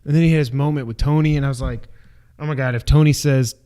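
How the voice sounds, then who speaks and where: A man's voice: medium pitch, steady tone, quick pace, dark tone, clean tone. Man chatting casually as review co-host. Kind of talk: casual chat.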